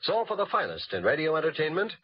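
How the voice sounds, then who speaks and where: Lively, husky American radio network announcer. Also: male voice, a little high, fast. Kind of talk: scripted 1950s radio promo. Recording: dull vintage radio recording.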